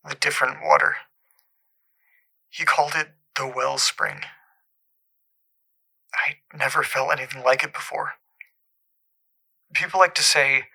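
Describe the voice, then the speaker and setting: Troubled caller, sharp forward voice, crackly fry, halting earnest storytelling, audio drama. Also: male voice, medium pitch, full character voice. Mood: earnest wonder. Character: troubled man recounting an eerie supernatural memory from youth.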